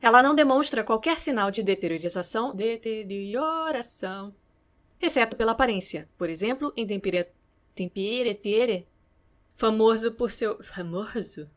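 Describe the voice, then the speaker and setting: Brazilian woman narrator, fairly high register, scripted reads broken by stumbles and playful off-script remarks. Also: expressive, moderate pace. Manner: stumbling over words, playful. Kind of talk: scripted narration outtakes with casual asides.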